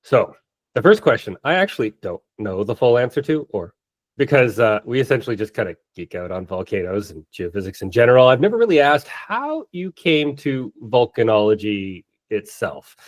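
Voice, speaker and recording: A man in his thirties hosting a science podcast, playful and self-deprecating, with puns worked into his introductions. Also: mid-range voice. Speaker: podcast host. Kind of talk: casual science podcast interview.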